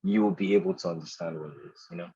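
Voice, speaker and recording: A young man speaks in a fairly low, slightly husky voice as a podcast guest. He talks in a loose, chatty way, restarting phrases and dropping "dude" here and there. The recording is dry and a little muffled. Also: fast, no echo. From Nigeria. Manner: relaxed and chatty, says "dude" and "man" a lot, frequent restarts. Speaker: podcast guest, product designer. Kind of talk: casual conversational interview.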